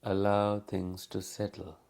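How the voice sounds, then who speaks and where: A man with a low, gravelly voice guides a meditation at an extremely slow pace. He speaks calmly as a teacher would, leaving long pauses between short phrases, and his tone stays quite even. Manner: calm, unhurried, with long pauses.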